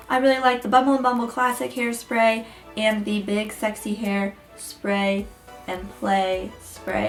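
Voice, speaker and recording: A young woman, a little hoarse, chatting her way through a hair tutorial with lots of ums and likes. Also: on the high side. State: hoarse, getting her voice back. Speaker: beauty vlogger. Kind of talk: casual hair tutorial chat. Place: room at home beside a window. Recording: echoey room, mic a bit far.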